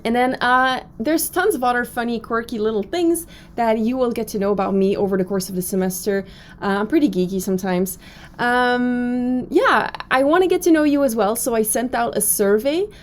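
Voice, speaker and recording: A woman's voice: on the high side, moderate pace, slight background noise. Upbeat Belgian woman professor. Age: 30s. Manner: upbeat and chatty, with frequent fillers. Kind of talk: recorded online course introduction. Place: her office.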